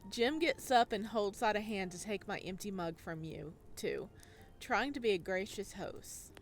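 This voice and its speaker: A playful, expressive woman streamer reading a visual novel aloud and adding her own reactions. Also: medium pitch, a little slow, soft background music. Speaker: video game streamer.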